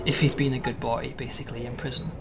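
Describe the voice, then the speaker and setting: A man demonstrating and explaining a game build in a relaxed way, checking in with "right?" as he goes. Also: mid-range voice, fast talker, vocal fry, a crowd in the background. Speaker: game developer demoing.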